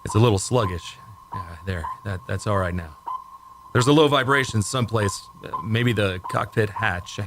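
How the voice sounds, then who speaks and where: A man's voice: fairly low pitch, moderate pace, noisy background. An actor playing a bold, focused male rocket plane test pilot, giving clipped technical reports in old-time radio drama style.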